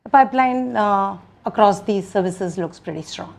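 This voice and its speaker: Indian businesswoman speaking in measured, halting phrases. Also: medium pitch, vocal fry. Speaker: company executive. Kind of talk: answering questions on an earnings call.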